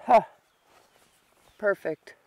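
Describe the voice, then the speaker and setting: Slow, muttering woman at an outdoor camp. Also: warm tone, muffled recording. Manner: slow, thinking aloud, muttering to herself. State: congested from a long cold. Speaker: bushcraft vlogger. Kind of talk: casual talk while working. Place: outdoors at a grassy campsite under a tarp. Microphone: camera on a tripod a few meters away.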